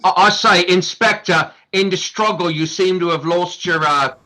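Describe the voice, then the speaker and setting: A woman playing an excitable, flustered murder mystery guest who stammers and exclaims, in a comedic radio play. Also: fairly low pitch, normal speed, rough recording.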